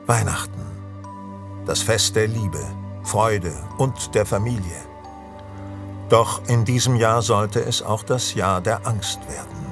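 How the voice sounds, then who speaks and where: An ominous storyteller in a horror radio play. His voice is low and very slow, each phrase set down deliberately. Music plays prominently behind him. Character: ominous male storyteller of a Christmas horror tale. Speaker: narrator. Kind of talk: scripted horror audio drama narration.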